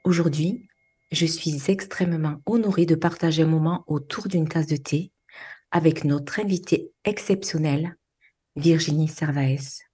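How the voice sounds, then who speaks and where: A woman's voice: low-pitched, unhurried, warm tone, breathy edge. A woman hosting a podcast, reading a prepared introduction and interviewing a guest. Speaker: podcast host.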